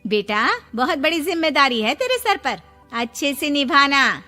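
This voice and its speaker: Woman playing a scolding middle-aged mother, lower register. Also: big pitch swings, moderate pace, bright, forceful tone, heavily acted. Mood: advising. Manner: admonishing, indignant. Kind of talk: animated moral-story cartoon voice acting. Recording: long reverb over background music.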